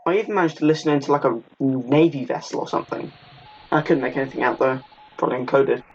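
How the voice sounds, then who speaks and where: A man's voice: medium pitch, quick pace, gravelly. A man narrating a video essay, partly scripted and partly casual. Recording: dull lo-fi audio with faint noise.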